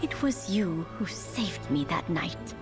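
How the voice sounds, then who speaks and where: A woman voicing a kind, grateful old lady in a game cutscene, speaking warmly. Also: fairly low pitch, lively intonation, slow. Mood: tender. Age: older. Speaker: game character. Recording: game audio with music behind.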